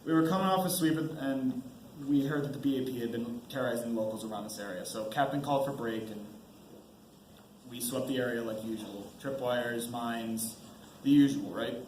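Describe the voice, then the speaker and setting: Young soldier for a school stage play, male student actor, nervous and halting, heard through room echo and clear noise. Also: mid-range voice, darkish tone, close to an everyday voice. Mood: tense, subdued. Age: teens. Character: anxious young army sergeant trapped on a mine. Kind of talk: amateur stage play acting. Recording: roomy, noisy school stage recording.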